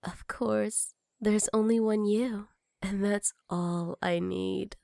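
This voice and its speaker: A woman's voice: medium pitch, fairly even intonation, slow, vocal fry, mellow, no room sound, clean studio sound. A woman playing a jealous, flirty female villain in an intimate ASMR roleplay, speaking breathily and teasingly and often slipping into whispers. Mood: jealous, possessive.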